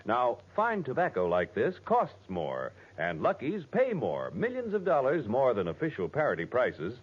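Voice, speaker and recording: A dull old radio recording from the 1940s presents an American male commercial announcer. He is raspy and emphatic, swinging his pitch widely through slogan-like phrases. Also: huge pitch swings, moderate pace.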